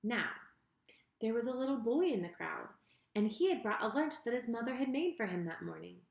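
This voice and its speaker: A woman reading a children's story in an expressive, deliberate way, speaking directly to her young listeners. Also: fairly high pitch, gravelly. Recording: roomy, echoing sound.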